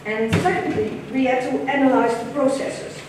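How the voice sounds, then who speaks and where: A woman with a Dutch accent giving a conference presentation in a large lecture hall, measured and hesitant, with frequent ums and restarts. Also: sits high, fairly even intonation, background noise. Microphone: room camera far from the stage. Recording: echoey lecture hall from far off.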